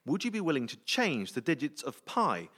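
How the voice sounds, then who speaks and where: A man hosting a live comedy radio show, brisk and jokey as he runs audience questions on stage. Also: on the high side, huge pitch swings, fairly bright tone. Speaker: host. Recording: live audience laughter and noise.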